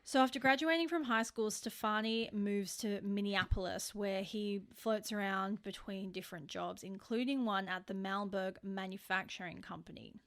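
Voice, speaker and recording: A woman with an Australian accent and a mid-pitched voice co-hosts a true crime podcast. She narrates like someone telling friends a story, informal and happy to swear. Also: normal speed, hardly any pauses, a bit bright.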